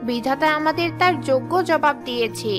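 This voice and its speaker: High-voiced cartoon mother-in-law played by a woman. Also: brisk, music in the background, close to an everyday voice. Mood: remorseful. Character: suspicious, sharp-tongued mother-in-law who turns remorseful. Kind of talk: dramatic cartoon dialogue.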